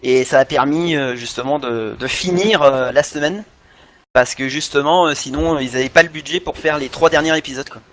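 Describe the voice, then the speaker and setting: A man's voice: fairly high pitch, moderate pace, a bit bright. A man in a casual podcast discussion, often repeating himself as he searches for words. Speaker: podcast co-host.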